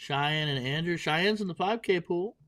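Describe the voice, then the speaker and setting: A man's voice: a little high, normal speed, a bit bright. A man commentating a darts tournament, joking and poking fun at himself. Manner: playful, self-mocking banter. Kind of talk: joking live sports commentary.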